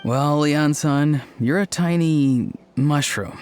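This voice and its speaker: A quiet young man who speaks in a flat, deadpan way, even when he is saying something odd. He sounds unhurried and dry in a game scene. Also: on the high side, faint music behind. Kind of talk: video game voice acting.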